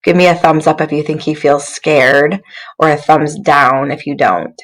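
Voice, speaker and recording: Female teacher for interactive picture-book reading, slow delivery, encouraging, puts questions to kids. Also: sits low. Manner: encouraging, questioning, addresses young listeners directly. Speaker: teacher reading aloud to children. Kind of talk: interactive children's storybook read-aloud.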